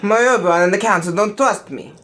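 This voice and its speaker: A man's voice: high-pitched, quick pace, fairly bright tone. A man playing a troubled, ambitious young Jedi apprentice with earnest, brooding delivery in an audio play. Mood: anguished.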